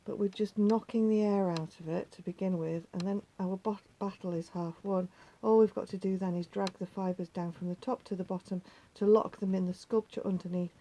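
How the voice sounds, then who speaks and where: A woman with a slightly croaky voice, patiently talking viewers through a craft step by step and often dropping to a near whisper. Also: mid-range voice, fairly even intonation, a little fast, few pauses, dark tone, a little hiss or hum, poor audio. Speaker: needle felting teacher. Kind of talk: hands-on craft tutorial.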